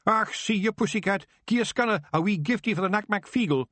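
A man voicing a tiny, rowdy male fairy warrior with a Scottish accent and a high, squawking, boisterous manner. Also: animated, brisk, a bit bright, no echo.

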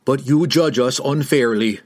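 A man's voice: medium pitch, a little slow, darkish tone, voice pushed up, lightly acted. A man voicing an earnest, resolute human warrior who speaks firmly and formally in a fantasy audiobook.

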